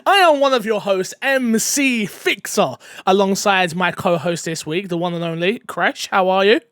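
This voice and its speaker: A man hosting a casual gaming podcast, excitable and joking, laughing often. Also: high-pitched, normal speed, clean tone, no echo, studio quality. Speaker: podcast host.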